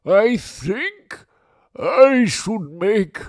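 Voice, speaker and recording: Grumpy, wheezy, melodramatic storybook bear. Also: male voice, sits high, lively intonation, dry recording, clean studio sound, pitched above the performer's own voice, full character voice. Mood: pompous gloom. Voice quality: raspy, wheezy, growling. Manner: melodramatic, pompous, drawn-out complaining. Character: grumpy, self-pitying big brown bear with a cold. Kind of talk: children's storybook read-aloud.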